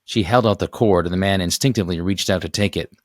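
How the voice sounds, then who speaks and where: A man's voice: low voice, fast talker, no room sound, clean studio sound. A man reading a children's adventure story aloud as its author, in scripted narration.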